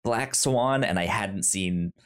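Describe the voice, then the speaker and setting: A man's voice: lowish voice, slow. A man co-hosting a movie podcast, chatting casually with lots of restarts and laughs. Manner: relaxed, rambling, frequent restarts and laughs. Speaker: podcast co-host. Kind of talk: casual chat.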